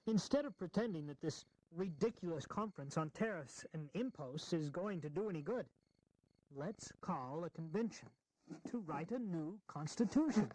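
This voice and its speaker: An actor playing an insistent, eloquent delegate of the founding era in a historical audio drama, formal and emphatic. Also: male voice, a little high, expressive, moderate pace, a little mellow, rough recording. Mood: urging.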